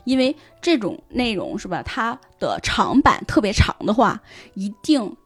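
A woman explaining things in animated casual chat as a guest on a talk show. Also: mid-range voice, moderate pace, slightly rough, no echo. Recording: background music under the voice.

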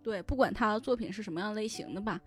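A woman's voice: mid-range voice, fast, a bit bright, soft background music. A woman in a casual podcast chat, explaining things with frequent small affirmations. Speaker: podcast panelist discussing adaptations of novels.

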